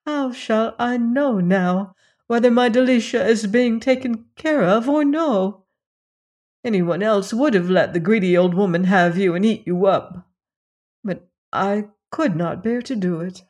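A woman voicing a tender, sorrowful young queen who pleads softly. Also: medium pitch, expressive, a little slow, lightly acted. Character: gentle, sorrowful young queen locked in a tower.

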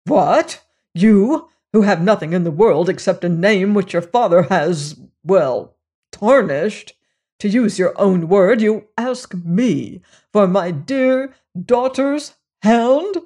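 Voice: mid-range voice, animated, takes it slowly, warm tone. A reader voicing a pompous old reverend. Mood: indignant. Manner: sanctimonious, lecturing, rising to indignant. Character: pompous older male clergyman, self-righteous and evasive.

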